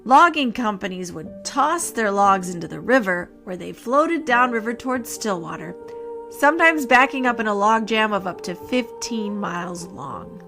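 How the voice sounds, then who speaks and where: A woman of medium pitch narrates a scripted ghost story as a podcast host. Her delivery is measured and theatrical, with pauses placed for drama. Music plays clearly behind her voice. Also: expressive, sharp tone.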